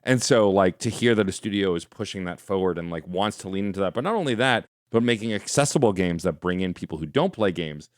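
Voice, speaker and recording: A man's voice: sits low, animated, fast talker, few pauses, no echo, clean studio sound. A man co-hosting a gaming podcast, enthusiastic and rambling, full of "like"s and laughs. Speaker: podcast co-host. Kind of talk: casual podcast chat.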